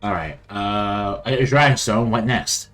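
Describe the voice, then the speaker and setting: A male let's player chats casually over game music. His pitch moves around in a lively way as he makes sarcastic remarks to the characters on screen. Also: a little slow. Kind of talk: casual gameplay commentary. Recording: loud game music, rain behind.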